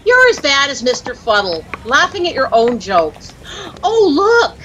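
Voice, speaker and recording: An actress with an American accent playing a chirpy, easily dazzled young housewife who gushes and lightly scolds in a 1940s radio comedy. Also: female voice, high voice, wildly swooping pitch, a little slow, a bit bright, dull audio, exaggerated voice. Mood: indignant, scolding. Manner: gushing, breathlessly admiring, lightly scolding. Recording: noisy old-time radio recording.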